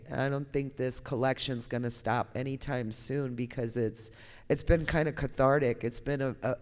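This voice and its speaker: A woman artist giving a candid, conversational talk, speaking in an informal, emphatic and expressive way. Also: rumbling low, clear, resonant tone. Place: indoor gallery talk, reverberant room. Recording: echoey hall with long reverb.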